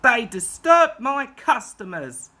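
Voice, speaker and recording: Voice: medium pitch, very animated, takes it slowly, pushed and bright, voice pushed up, exaggerated voice. A woman playing a cross fussy barber. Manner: cross, fuming.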